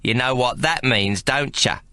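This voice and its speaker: A man voicing a blunt young woman collecting a debt, speaking in rough, slangy street talk. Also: extremely low pitch, measured pace, bright, forceful tone, no echo, studio quality, pitched above the performer's own voice, heavily acted. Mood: insistent.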